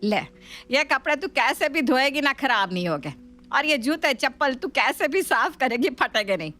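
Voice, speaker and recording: A woman's voice: sits high, animated, normal speed, pushed and bright, soft background music, voice pushed up. A woman voicing a shrewd older mother-in-law in a family story, scolding and mock-sweet. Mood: mock-sweet, commanding.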